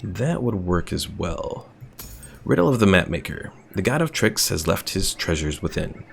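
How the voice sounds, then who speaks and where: A man streaming a strategy game, chatting casually, thinking out loud and reading chat messages. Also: low voice, big pitch swings, normal speed, gravelly, slight background noise. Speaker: gaming streamer. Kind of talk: casual gameplay commentary.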